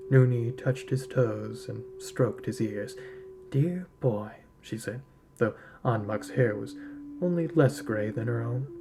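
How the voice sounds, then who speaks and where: A man reading a literary story aloud as a narrator, in a measured, solemn storytelling manner. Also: medium pitch, background noise. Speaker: podcast host reading a short story aloud.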